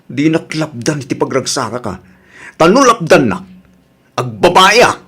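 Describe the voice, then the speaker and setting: A hot-tempered, domineering husband in an Ilocano radio drama. He speaks with an Ilocano accent and a gruff, bossy manner. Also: a little high, very animated, moderate pace, fairly bright tone, full character voice. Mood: sulky, irritated.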